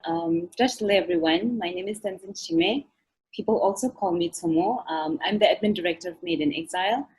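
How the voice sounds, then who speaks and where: A woman's voice: fairly low pitch, a little fast, a little mellow, husky, poor audio. A woman raised in Nepal, giving a plain, brief introduction over a video call. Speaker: arts program admin director.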